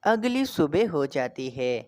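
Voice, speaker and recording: A woman's voice: low voice, very animated, takes it slowly, a little mellow, slight background noise. A woman reading scripted narration for a children's story as its narrator.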